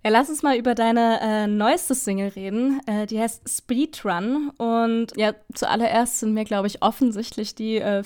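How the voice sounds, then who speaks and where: Female interviewer, smooth voice, flat delivery, relaxed questions. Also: mid-range voice. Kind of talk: casual interview.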